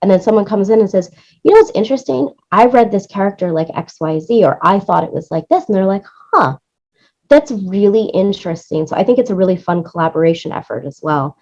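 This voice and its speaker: A woman's voice: medium pitch, animated, a little fast, a little mellow, dull audio. A woman speaking as an interview guest, explaining things earnestly in a casual conversational style and often checking in with "right?". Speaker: interview guest, music supervisor and producer.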